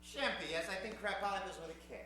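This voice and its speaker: A man's voice: high voice, fast talker, sharp tone. A man hosting a live improvised radio show on a theater stage, showy and mock-formal in an old-time radio manner, joking with the crowd. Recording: echoey theater stage recording.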